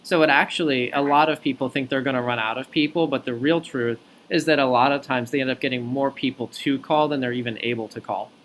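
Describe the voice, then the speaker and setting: A man working as a recruiter on a phone interview, explaining things clearly point by point in an articulate way. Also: medium pitch, fairly even intonation, a little fast, hardly any pauses, fairly bright tone, slight background noise, rough recording. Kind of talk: explanatory job interview talk.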